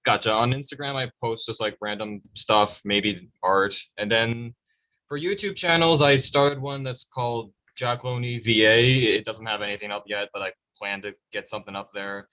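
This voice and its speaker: Lofi call, guy w slightly low but sharp voice casually plugging his amateur stuff. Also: steady tone, normal speed. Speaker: amateur voice actor. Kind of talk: casual self-introduction. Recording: dull lo-fi call audio.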